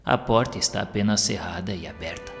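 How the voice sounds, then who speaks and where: A man's voice: sits low, fairly quick. A Brazilian man voicing a humble male spiritist medium in an audiobook, polite and formal. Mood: courteous. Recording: long hall reverb, music underneath.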